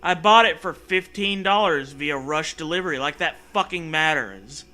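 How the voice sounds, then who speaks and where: High, very bright, sarcastic male comedy host. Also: moderate pace. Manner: sarcastic, profane, theatrical. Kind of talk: mocking read-aloud of a story with joking asides.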